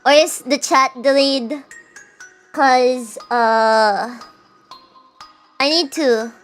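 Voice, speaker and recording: High-voiced young woman streamer. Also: drawn-out words. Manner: chatty, answers chat, self-mocking. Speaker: VTuber streamer. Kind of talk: casual livestream chat. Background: faint background music.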